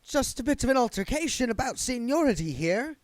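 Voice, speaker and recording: A reedy, petulant ghost spirit guide. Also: male voice, very high-pitched, sing-song, a little slow, no echo, voice pushed up, heavily acted. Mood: smug. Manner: squabbling, combative.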